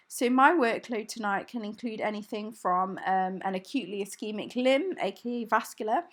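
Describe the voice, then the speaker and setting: A young woman, a junior hospital doctor, talking casually to camera in a vlog. Her voice is croaky from a cough and she sounds tired, with many ums. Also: medium pitch. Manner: chatty, tired, filler-heavy.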